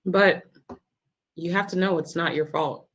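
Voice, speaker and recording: A woman's voice: lowish voice, fairly even intonation, unhurried, slightly rough. Woman acting a caring, reassuring ward nurse. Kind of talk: dramatic table read of a screenplay.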